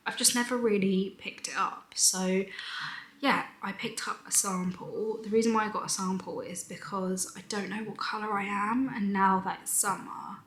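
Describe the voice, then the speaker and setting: A young woman with a British accent, chatting casually to camera in a weekend vlog, a little hoarse and drifting into half-whispers. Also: medium pitch, a bit bright, echoey room. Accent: British English. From United Kingdom. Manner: breezy and rambling, sometimes half-whispered. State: slightly hoarse. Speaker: vlogger.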